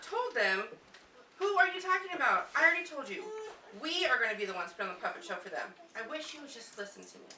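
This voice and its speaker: A woman's voice: fairly high pitch, sing-song, fast, bright, forceful tone. A woman performing a scripted amateur family skit, recorded live at a gathering with noise behind her. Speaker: puppet show performer at a family reunion. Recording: distant, echoey, noisy home video of live show.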